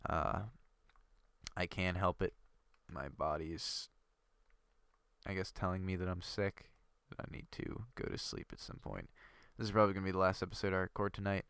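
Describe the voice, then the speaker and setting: A man's voice: sits low, lively intonation, normal speed, vocal fry, a bit bright, smooth, no echo. Stuffy-nosed young man rambling over gameplay. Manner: rambling, thinking aloud with many fillers. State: stuffy nose from a cold. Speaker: gaming video creator. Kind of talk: casual gameplay commentary.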